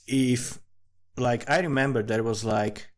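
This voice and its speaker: A man's voice: medium pitch, steady tone, measured pace. A man with a Central European accent giving a casual, rambling, sweary monologue that drifts from one thought to another. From Central Europe, small country. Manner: informal, sweary, digressive. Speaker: amateur fantasy writer recording commentary.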